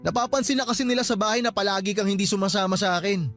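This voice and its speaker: A petulant young boyfriend in a radio drama. He nags, brushes complaints aside and turns defensive quickly, over background music. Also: high voice, fairly bright tone. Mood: insistent. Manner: nagging, defensive, dismissive. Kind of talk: Filipino radio drama acting.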